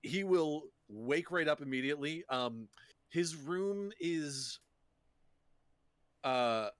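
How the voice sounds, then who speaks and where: A man playing a tabletop roleplaying game, casually describing his character as he thinks out loud. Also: a little high, expressive, slow pace, no room sound.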